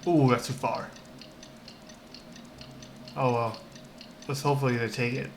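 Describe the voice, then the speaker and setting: Very low-voiced woman streaming a game. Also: steady tone, a little slow, a little hiss or hum. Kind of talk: casual gameplay commentary. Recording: game music behind the voice.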